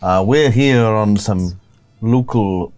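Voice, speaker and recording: A man's voice: on the low side, takes it slowly, darkish tone, soft background music. A man voicing a dry, sardonic male priest in a tabletop game.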